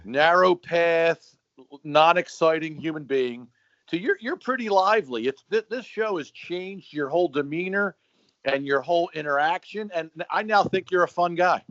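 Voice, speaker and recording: A middle-aged man co-hosting a podcast, telling stories in a lively, joking, casual way. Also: fairly high pitch, normal speed, no echo. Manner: animated storyteller, joking. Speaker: podcast co-host. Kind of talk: casual chat. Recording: dry, slightly dull sound.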